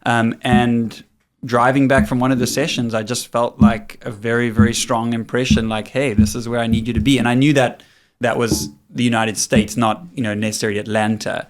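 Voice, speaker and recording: A man in his forties with a South African accent, a pastor telling his story as a guest in a casual, relaxed podcast chat. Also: medium pitch, brisk. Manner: relaxed, storytelling, laughs easily. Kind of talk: casual conversational interview.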